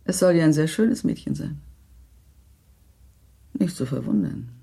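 An actress playing a gracious, tactful minister's wife in a German radio play, speaking in a formal, measured, diplomatic way. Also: female voice, low voice, lively intonation, gravelly, mellow, full character voice. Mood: measured, reassuring.

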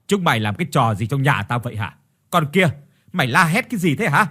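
A man voicing a domineering older mother-in-law with a bossy, sharp manner in a dramatic audio story. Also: fairly high pitch, very animated, fast talker, breathy edge, heavily acted. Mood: scolding. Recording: music behind the voice.

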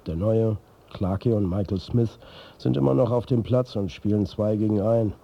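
A man's voice: on the low side, moderate pace, gravelly, husky, muffled recording. An actor playing a weary, blunt, foul-mouthed football manager who tells his own story in a radio drama. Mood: wry, reflective. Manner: blunt, sweary, colloquial. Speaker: narrator and lead actor. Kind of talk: first-person radio drama narration.

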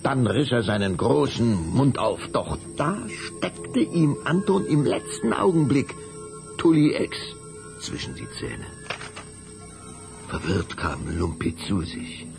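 A man's voice: medium pitch, sing-song, slow pace, gravelly, over music. A man narrating a children's audio drama in a dramatic storybook style.

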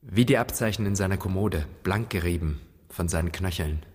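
An actor playing a brooding son alone in his dead father's flat, speaking a poetic radio monologue in terse, intense fragments. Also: low voice, normal speed, long reverb tail. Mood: haunted remembering.

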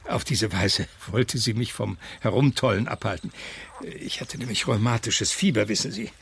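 An actor playing a refined, ailing gentleman in his late fifties, reminiscing in a cultivated, wordy way in a German radio play. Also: lowish voice, normal speed, vocal fry, a little hiss or hum. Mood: nostalgic. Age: middle-aged.

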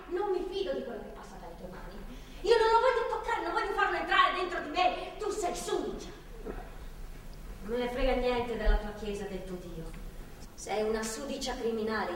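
A desperate young captive woman in a theatrical drama. Her high voice is emotional, bitter and confrontational. It is heard from a distance in a reverberant hall. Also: a bit bright. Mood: angry, contemptuous. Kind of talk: theatrical stage and radio drama acting. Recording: distant, echoey hall, faint noise.

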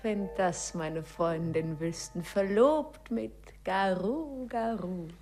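An actress playing a dreamy, coy young sweetheart, breathy and half-whispering, in a 1950s radio play. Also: female voice, mid-range voice, slow, natural voice. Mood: coy, enraptured. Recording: 1950s radio recording with music.

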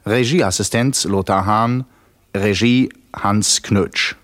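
A man reading credits as a formal, measured 1960s German radio announcer. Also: fairly low pitch, a bit bright.

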